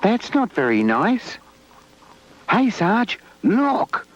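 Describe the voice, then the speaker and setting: A man voicing a small, innocent, easily amazed toy with a squeaky voice in a children's puppet story. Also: huge pitch swings, drawn-out words, very noisy, heavily acted. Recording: dull old VHS tape, loud music.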